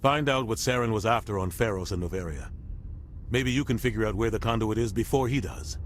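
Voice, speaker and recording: Give the captain a low, grave voice, played by a man, middle-aged and dutiful, with weary honesty. He talks in an earnest, measured way, as in video game dialogue. Faint noise sits behind him. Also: close to an everyday voice. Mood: firm, steady.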